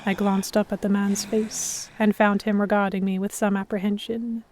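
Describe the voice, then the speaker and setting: A woman narrating in first person as a composed captive in a historical pirate audio drama, with measured, dramatic storytelling. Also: mid-range voice, level tone, darkish tone, slight background noise, close to an everyday voice. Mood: measured, tense. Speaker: narrator and lead actor.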